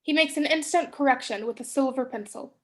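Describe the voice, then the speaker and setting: A woman's voice: sits high, a little fast. A young woman hosting a staged play reading and narrating its stage directions. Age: young adult.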